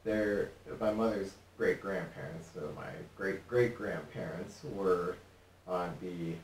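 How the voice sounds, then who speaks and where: A young man, a guest on a TV interview, answering in a relaxed, halting way with many fillers. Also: low-pitched. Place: TV studio set. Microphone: desk mic from a distance. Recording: roomy studio sound, mic at a distance, faint hiss.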